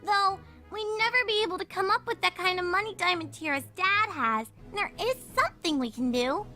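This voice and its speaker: A woman voicing plucky, matter-of-fact young fillies in an earnest, animated way for a fan fiction reading. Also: extremely high pitch, a little fast, faint music behind.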